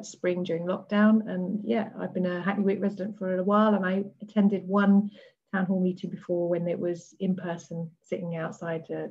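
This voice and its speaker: A woman, a local resident, introducing herself in a friendly, matter-of-fact way. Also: on the low side. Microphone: video call. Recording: dull lo-fi call audio.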